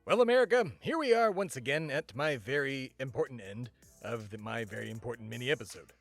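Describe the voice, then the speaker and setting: A man's voice: mid-range voice, animated, brisk, creaky, faint music behind. A man playing a pompous, self-important male patriot who hosts a comedy podcast in a mock-serious, grandiose way. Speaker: podcast host. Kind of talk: mock-serious comedic monologue and dramatic reading.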